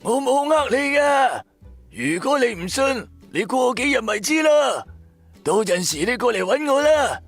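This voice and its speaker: A man voicing a sly, wheedling old street fortune-teller in an audio drama, with theatrical drawn-out calls. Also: extremely high pitch, lively intonation, soft background music, voice pushed up, exaggerated voice. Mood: insistent warning. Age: older. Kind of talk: Cantonese audio drama character voice.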